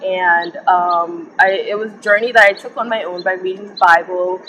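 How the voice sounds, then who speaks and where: A young woman from the Bahamas, sharing her personal faith story in an interview, earnest and reflective with frequent pauses. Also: on the low side, steady tone, sharp tone, a little hiss or hum, rough recording. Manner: earnest and reflective, often pausing with ums. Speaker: interview guest. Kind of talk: personal testimony in conversation.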